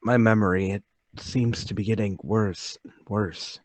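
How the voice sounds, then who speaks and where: A man's voice: fairly low pitch, steady tone, measured pace, a little mellow, dry recording. A man playing a meek, troubled middle-aged salesman in a radio play table read, hesitant and apologetic. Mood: uneasy, apologetic.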